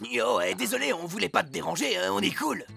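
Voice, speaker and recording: A man voicing a mocking street-gang raccoon in a children's cartoon, gravelly and slangy. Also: high-pitched, expressive, fast, sharp tone, heavily acted. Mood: chummy. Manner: slangy, taunting. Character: mocking, laid-back male street raccoon gang member. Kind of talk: French animated cartoon dub acting. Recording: music behind the voice.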